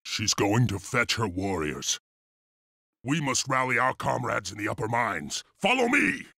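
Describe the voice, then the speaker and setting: A man playing a defiant, commanding leader of an enslaved fantasy clan in a video game, rough-voiced and resolute. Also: medium pitch, very animated, measured pace, bright, forceful tone, no room sound, studio quality, heavily acted. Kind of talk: dramatic video game cutscene acting.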